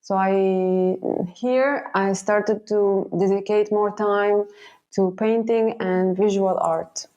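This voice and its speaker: A woman from Egypt, a painter answering interview questions in a halting way, with many fillers and restarts. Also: mid-range voice, fairly even intonation, mellow, dull audio. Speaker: guest painter.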